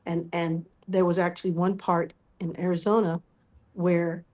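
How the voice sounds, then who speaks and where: A middle-aged woman telling animated personal stories as an interview guest, often acting out what people said. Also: on the low side, measured pace. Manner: acts out quoted dialogue. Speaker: interview guest, musical performer.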